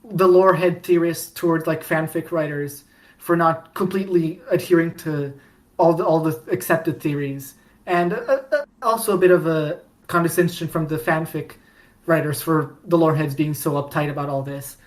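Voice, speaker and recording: A woman's voice: low voice, normal speed. A woman co-hosting a podcast, chatting casually and eagerly, rambling with many restarts and fillers. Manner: enthusiastic and pleading. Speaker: podcast co-host. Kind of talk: casual fandom chat.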